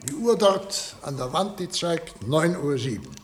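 Earnest experiment leader: man, measured explanatory delivery, higher than average, faintly noisy old radio recording. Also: big pitch swings. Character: earnest male professor leading a daring experiment. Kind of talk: German radio play acting.

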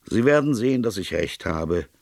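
A man voicing a stern, lanky British police colonel in a detective audiobook, speaking in a formal, authoritative, clear-cut way. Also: lowish voice, lively intonation, normal speed, darkish tone, no room sound, studio quality, voice pushed up. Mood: stern, official. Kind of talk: audiobook character dialogue in a detective story.

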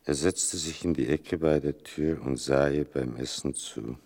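An actor playing an older narrator in a literary radio play, gravelly and deliberate, with a bitterly ironic, mournful manner. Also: male voice, rumbling low, darkish tone, full character voice. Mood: grave, restrained. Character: sardonic, mournful male narrator telling his mother's wartime story.

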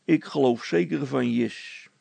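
A man's voice: mid-range voice, unhurried, creaky, mellow, husky, dry recording, exaggerated voice. A man voicing a self-important, gossipy village policeman who talks in a hesitant, confiding and bossy way in a storybook reading. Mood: secretive. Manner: hesitant, confiding, bossy, stammering over words.

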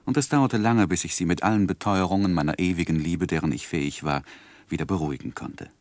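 A man's voice: low voice, fast, darkish tone, no room sound, lightly acted. An actor playing an earnest, tender, lovestruck young man who tells his life in a 1960s German radio drama, with careful literary phrasing. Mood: reflective narrating.

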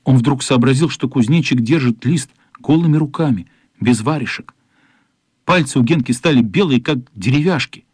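A man's voice: mid-range voice, moderate pace, a little mellow, husky, dry recording. A man reading literary narration as the storyteller of a children's radio play.

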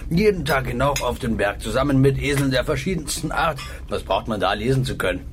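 A man's voice: mid-range voice, lively intonation, brisk, faint music behind, lightly acted. An actor playing a plain-spoken, good-humoured rustic man in a German radio play, talking in a wry, down-to-earth way. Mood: wry, easygoing.

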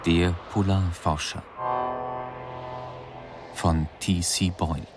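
Very deep, unhurried male announcer. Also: steady tone. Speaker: radio announcer reading credits. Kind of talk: formal scripted announcement. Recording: studio broadcast with music underneath. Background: clearly audible music.

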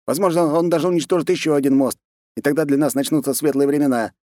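A man's voice: fairly high pitch, fairly even intonation, fast, darkish tone, no echo, clean studio sound, pitched above the performer's own voice, close to an everyday voice. A man voicing a blunt, matter-of-fact soldier in a fantasy audiobook. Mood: exasperated. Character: blunt, exasperated soldier, a commander's comrade.

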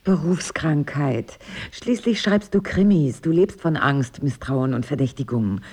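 An actress playing a troubled, wry and protective wife in a naturalistic radio drama, with a husky, breathy voice. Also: female voice, sits low, unhurried, a little mellow. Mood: dry, wry. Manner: emotive, wry.

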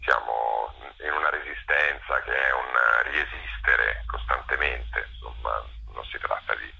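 A man being interviewed as a theatre artist, speaking thoughtfully and hesitantly, often pausing to search for words. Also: very deep voice, fairly even intonation, vocal fry, sharp tone, breathy edge, highs cut off. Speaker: theatre actor-director, interview guest.

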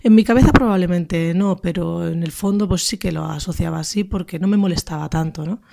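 Woman, early thirties, northern Spanish accent, lowish pitch, soft dark timbre, hesitant, reflective, informal personal talk. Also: fairly quick, creaky. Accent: northern Spain. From Basque Country, Spain. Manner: hesitant, reflective, trailing thoughts. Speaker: contributor to a feminist radio show.

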